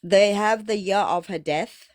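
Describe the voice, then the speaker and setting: A woman's voice: mid-range voice, measured pace. A woman debating on a live stream, persistent and repeating her points as she is talked over. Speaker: debate opponent.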